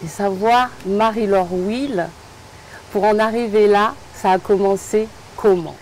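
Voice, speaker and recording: A woman host speaks warmly and admiringly, repeating her phrases as she builds her question. Her pitch is mid-range and even. Faint background noise sits under her voice. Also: unhurried, poor audio. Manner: warm, admiring, repetitive phrasing. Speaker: interview host. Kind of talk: interview conversation.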